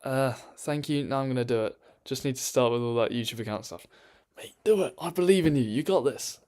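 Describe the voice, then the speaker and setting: A man's voice: medium pitch, brisk, a little mellow. A man chatting casually on a live stream from his bedroom, rambling with lots of ums and restarts.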